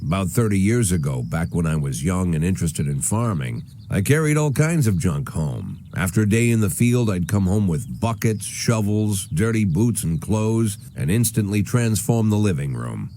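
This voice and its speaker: An actor playing a bitter, aging police chief who narrates in a world-weary, sardonic, deliberate way for a noir game. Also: male voice, deep voice, very animated, warm tone, slight background noise. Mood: wry, brooding. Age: older.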